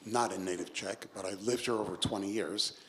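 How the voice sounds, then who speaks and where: A man's voice: lowish voice, a little fast, slight background noise. A man in the audience asking a long, probing question of the speaker. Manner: lively, probing, rambling. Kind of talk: question from the floor.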